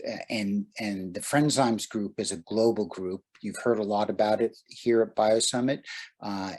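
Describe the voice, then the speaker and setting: A man's voice: lowish voice, a little mellow. A man presenting at an online workshop, talking casually with many fillers and restarts over a video call.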